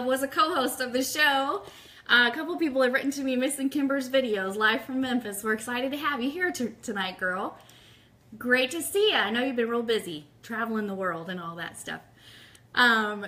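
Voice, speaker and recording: A woman's voice: high voice, pushed and bright. A woman hosting a live-streamed fan radio show, chatting informally and upbeat as she gives announcements and greets viewers.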